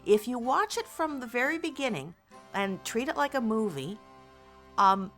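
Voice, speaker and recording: A woman's voice: on the high side, big pitch swings, normal speed, a bit bright, faint music behind. A woman speaking candidly and expressively as an interviewed voice actor in a casual online call.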